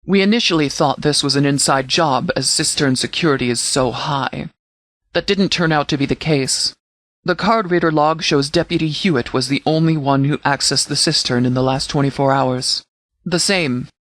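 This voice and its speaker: Casting: male actor as stern game sheriff and commander, slightly higher-pitched male voice, measured and formal, recorded very dry. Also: a bit bright, no room sound, natural voice. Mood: businesslike, grave. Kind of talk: scripted game dialogue, mission briefing.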